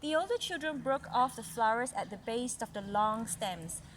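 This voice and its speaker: A woman reads a story aloud as a mother to a young child, adding gentle conversational asides. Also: a little high, expressive, moderate pace. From Malaysia. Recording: noisy recording with background sounds. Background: ambient noise, young child nearby.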